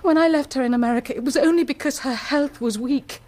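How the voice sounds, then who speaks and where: An actress playing an anguished, dignified married woman confessing a secret, speaking formally and with deliberate passion in a period radio drama. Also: sits high, darkish tone, close to an everyday voice. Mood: proud, tender. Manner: formal, deliberate, impassioned, declamatory.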